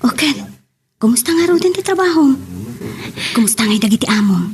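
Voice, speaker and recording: A woman's voice: sits high, big pitch swings, normal speed, darkish tone, far from the mic, slight background noise. A woman acting an exuberant, chatty friend in an audio drama, with an Ilocano Filipino accent. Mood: cheerful. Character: exuberant, chatty female friend working as household help.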